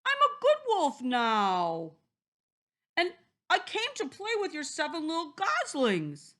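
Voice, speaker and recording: Woman doing a loud, earnest lonely wolf. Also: high-pitched, huge pitch swings, slow pace, pitched above the performer's own voice, exaggerated voice. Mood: sweetly pleading. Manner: loud and earnest, overly polite. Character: lonely male wolf trying hard to be good.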